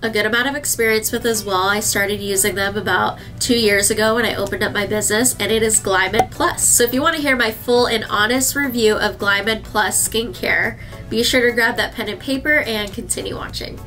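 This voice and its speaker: A woman's voice: medium pitch, steady tone, brisk, pushed and bright, faint music behind. A woman in her thirties, a licensed esthetician, chatting to camera in a skincare review, a little nasal from a cold and speaking directly to her viewers. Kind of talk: casual product review to camera. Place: indoor skincare treatment room.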